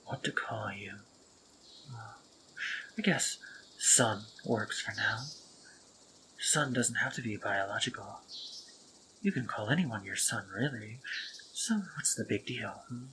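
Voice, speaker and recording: A man voicing a sardonic but tender spider-monster man, speaking in a soft, breathy half-whisper in an intimate audio roleplay. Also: on the low side, lively intonation, slow, pauses often, background noise. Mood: flustered, tender. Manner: gentle, dry and teasing, intimate.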